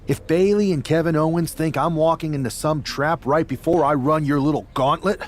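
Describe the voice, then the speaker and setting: A man's voice: fairly high pitch, fairly quick, lightly acted. A man playing a confrontational, scornful, hot-tempered wrestler in a video game. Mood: angry, defiant. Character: defiant, hot-tempered loyalist male wrestler. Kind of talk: video game cutscene dialogue.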